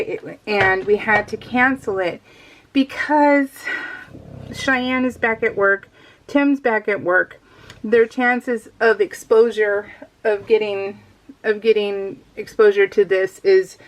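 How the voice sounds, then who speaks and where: A middle-aged woman chatting casually and informally as she narrates a craft vlog, telling stories in an animated, giggly way. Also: mid-range voice, rough recording. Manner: giggly, animated storytelling with quoted exchanges. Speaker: craft vlogger.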